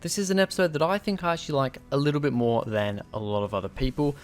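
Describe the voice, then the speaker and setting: A man's voice: medium pitch, fast talker, soft background music. A young man from West Yorkshire casually reviewing and ranking episodes, with frequent ums and restarts. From West Yorkshire, England. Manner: chatty, lots of ums and restarts. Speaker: video host ranking episodes.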